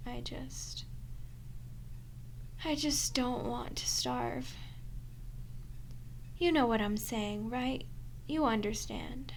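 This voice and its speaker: A woman playing a starving, captive female vampire in an ASMR audio drama, weak and sardonic, speaking in halting mutters and sighs. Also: fairly high pitch. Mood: desperate pleading. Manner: halting, sighing, breathy muttering with sarcastic asides. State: weak, parched. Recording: clear background noise and ambience.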